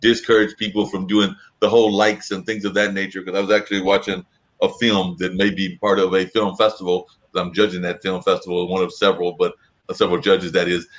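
Low-voiced man hosting an interview. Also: level tone, a little fast. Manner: rambling, long winding questions. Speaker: interview host. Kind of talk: conversational interview.

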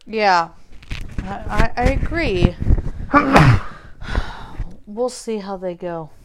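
Loud, animated woman chatting. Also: mid-range voice, drawn-out words, heavy background noise. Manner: animated, laughs often, loud. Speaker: podcast co-host. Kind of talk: casual chat.

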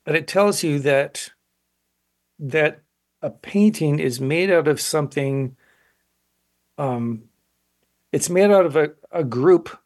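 A man in his sixties, an artist interviewed on radio, speaking in a thoughtful, halting way and often pausing to find words. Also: fairly high pitch, gravelly, slightly rough. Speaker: painter, interview guest. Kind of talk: reflective interview talk.

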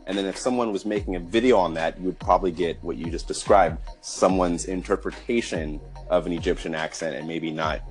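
A man's voice: deep voice, fairly even intonation, fairly quick, few pauses, faint music behind. A man hosting an interview podcast, keen and admiring, often saying wow. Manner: enthusiastic, admiring, many wows. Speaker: podcast host. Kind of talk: casual interview chat.

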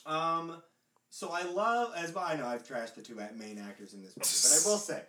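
A man's voice: on the high side, brisk, sharp tone. A man in his thirties co-hosting a podcast, speaking in casual chat with a dry, teasing manner. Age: 30s. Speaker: podcast co-host. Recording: roomy echo, mic set back.

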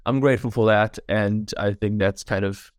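A man's voice: on the low side, moderate pace, no room sound, clean studio sound. A young Czech man in his twenties, a filmmaker guest chatting casually and thoughtfully on a podcast, often adding "like" and "right?". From Czech Republic. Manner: relaxed, thoughtful, many fillers like "like" and "right?". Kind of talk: casual interview chat.

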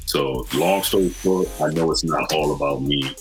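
A man's voice: extremely low pitch, moderate pace, faint music behind. A man in his forties from Michigan, a podcast guest telling his story in an earnest, reflective way. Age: 40s. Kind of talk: casual conversation.

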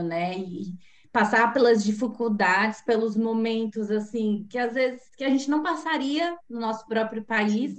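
A young woman with a Brazilian Portuguese accent, hosting a podcast and casually putting questions to her guests. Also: fairly high pitch. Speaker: podcast host. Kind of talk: casual interviewing. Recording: slightly distant from the mic.

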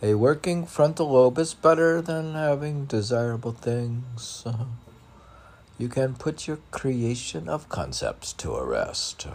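Male poet for meditative verse reading; very unhurried, soft-edged dark tone, halting phrasing with emphatic lifts. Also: mid-range voice. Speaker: poet reading own work. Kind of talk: recited spiritual poetry reading.

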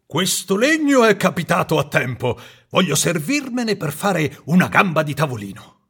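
A jittery old carpenter, pushed far up into a thin, bright register with a gravelly, husky edge. He stammers and mutters to himself, swinging between bluster and fright as the storybook goes on. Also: on the high side, sing-song, normal speed, pitched above the performer's own voice, exaggerated voice. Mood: pleased. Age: older.

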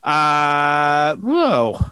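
A man's voice: a little high, very animated, pushed and bright, smooth, dry recording. A man co-hosting a podcast, rambling and joking in casual chat, stretching words out for comic emphasis. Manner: playful, rambling, drawn-out jokey emphasis. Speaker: podcast co-host.

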